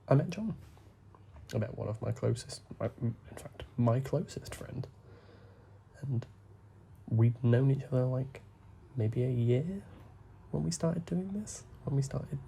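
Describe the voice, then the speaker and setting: A young man, talking softly and rambling as he plays a game, full of fillers and asides. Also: mid-range voice, vocal fry, a little hiss or hum. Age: young adult. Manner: soft, deliberately hushed talk with frequent fillers. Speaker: gaming YouTuber. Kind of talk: rambling gameplay commentary.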